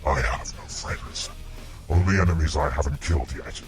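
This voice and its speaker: Very deep, menacing warlord creature. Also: male voice, moderate pace, music in the background. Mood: cold. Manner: cold, menacing, formal. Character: menacing male undersea warlord creature, cruel and scornful. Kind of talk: animated fan-dub acting.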